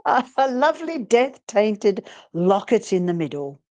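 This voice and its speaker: A woman's voice: medium pitch, sing-song, measured pace, darkish tone. A woman co-hosting a live read with playful, casual banter. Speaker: co-host and reader.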